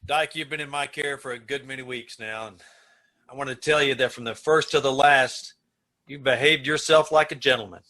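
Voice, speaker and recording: Bright, forceful, earnest prison warden played by a man. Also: medium pitch, steady tone, moderate pace, lightly acted. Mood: grave, considerate. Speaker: amateur radio-troupe actor. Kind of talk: old-time radio play acting.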